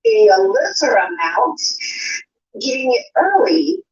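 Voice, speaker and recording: Combative woman with a high voice, sweary and argumentative, speed-altered playback, muffled and echoey. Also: big pitch swings, slow, gravelly. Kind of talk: heated casual argument. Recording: echoey, muffled played-back audio.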